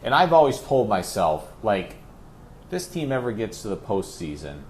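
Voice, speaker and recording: A man's voice: lowish voice, very animated, moderate pace, darkish tone, a little hiss or hum. A man who works as a play-by-play announcer, talking conversationally and at length as an interview guest, pressing his points with emphatic repetition.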